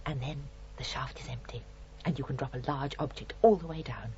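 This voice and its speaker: A woman's voice: extremely low pitch, brisk, darkish tone. A woman playing a fussy, house-proud murderous landlady in a radio crime drama, with a British accent and a prim, chillingly matter-of-fact, indignant manner. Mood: calm, instructing. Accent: British English. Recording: dull radio recording with faint hiss.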